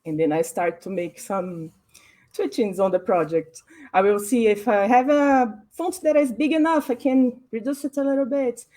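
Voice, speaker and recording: Woman explaining, slightly high voice. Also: warm tone. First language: Portuguese. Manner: thoughtful, pauses to search for words. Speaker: graphic designer. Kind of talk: panel discussion, explaining her process. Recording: online panel stream.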